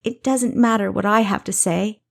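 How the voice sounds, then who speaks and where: A woman's voice: medium pitch, steady tone, normal speed, creaky, voice pushed up, lightly acted. A woman reading a storybook voices a furious, sarcastic younger sister who snarls her words. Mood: bitter.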